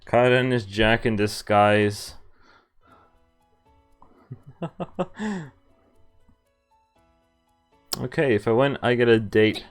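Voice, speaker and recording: A man's voice: mid-range voice, very slow, long pauses, clear, resonant tone. A man streaming a visual novel, reading it aloud and adding casual, playful, reactive commentary. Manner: playful, reactive, acts out dialogue. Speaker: game streamer. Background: game music.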